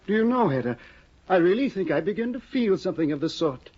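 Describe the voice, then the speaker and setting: Cast: a fond, fussy academic husband, lively husky voice, old radio sound. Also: male voice, high-pitched, quick pace. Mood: doting. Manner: fussy, doting, hesitant. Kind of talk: 1950 radio drama acting. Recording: dull lo-fi 1950 radio broadcast.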